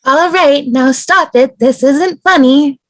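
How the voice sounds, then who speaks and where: A woman's voice: sits high, takes it slowly, no echo. A woman playing a possessed passenger who eerily parrots others' words in a sci-fi drama table read. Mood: mimicking. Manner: parroting, copying others' phrasing. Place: online video call.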